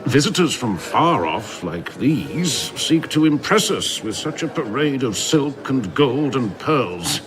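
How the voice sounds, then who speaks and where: An actor playing a proud, mocking citizen of an ideal island in an audio drama, speaking with scornful amusement. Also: male voice, mid-range voice, very animated, slow, few pauses.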